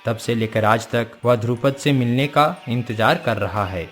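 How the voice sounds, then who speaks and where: A man's voice: mid-range voice, measured pace, faint music behind, natural voice. Solemn wise forest guru played by a man. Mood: solemn, instructive. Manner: solemn, instructive, formal wording. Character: wise male forest guru, grave and all-knowing. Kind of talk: Hindi cartoon moral-story acting.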